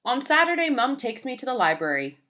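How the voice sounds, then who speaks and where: A woman voicing an earnest, shy young schoolgirl who narrates her own storybook tale with deliberate, childlike phrasing. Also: high-pitched, sing-song, bright, forceful tone, voice pushed up, exaggerated voice. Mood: wistful, earnest.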